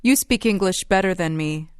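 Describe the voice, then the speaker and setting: A woman reading the lines of a friendly speaker in a scripted English-lesson dialogue, with careful, even delivery. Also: on the low side, moderate pace, smooth, dry recording, clean studio sound, natural voice. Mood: plain friendly. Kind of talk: slow scripted textbook dialogue.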